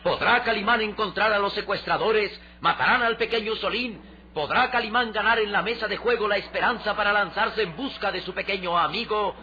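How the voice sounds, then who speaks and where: A man's voice: high voice, a little fast, bright, forceful tone, a little hiss or hum. A man narrating a radio adventure serial in a dramatic, declamatory, suspenseful scripted style. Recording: dull old radio recording with music.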